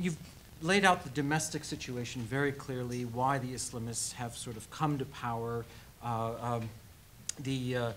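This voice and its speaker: A man's voice: mid-range voice, a bit bright, slight background noise. A man moderating a panel discussion who asks long, rambling questions with hesitations.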